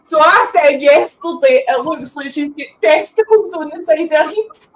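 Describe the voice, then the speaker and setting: A woman's voice: sits high, lively intonation, moderate pace. A woman on a podcast call teasing the host in cheeky, casual banter. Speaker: podcast guest.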